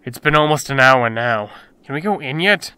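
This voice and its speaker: Man acting a bright, cocky scheming rogue. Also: medium pitch, expressive, moderate pace, clean tone, dry recording. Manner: brash, slangy, wisecracking. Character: cocky, scheming male rogue, wisecracking and impatient. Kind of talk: comic dub voice acting.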